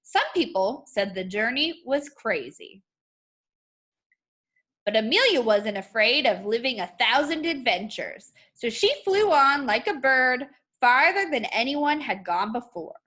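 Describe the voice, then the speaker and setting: A woman with a fairly high, very bright voice reads a children's book aloud. Her intonation is highly animated and expressive, shaped like a teacher guiding young students through each page. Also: unhurried. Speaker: art teacher.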